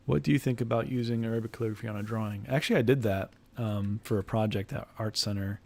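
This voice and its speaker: A young man chatting casually with viewers on a drawing livestream, answering questions in a loose, halting way and restarting phrases as he sketches at his desk. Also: on the low side, gravelly, a little mellow. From Iraq, grew up in the United States. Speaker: art streamer. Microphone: headphones, desk mic.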